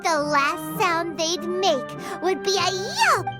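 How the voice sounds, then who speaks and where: Casual: a super high-pitched tiny floaty girl sidekick, bubbly, bouncing all over the place, game music going behind. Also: animated, slow pace, heavily acted. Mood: excited. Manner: bubbly, refers to herself by name. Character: tiny floating girl companion, excitable and childish. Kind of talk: video game voice acting.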